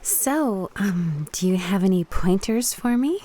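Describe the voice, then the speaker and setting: A woman's voice: medium pitch, lively intonation, unhurried, dark tone. A woman playing a confident, teasing young Japanese woman diver in a radio play. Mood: playful, friendly.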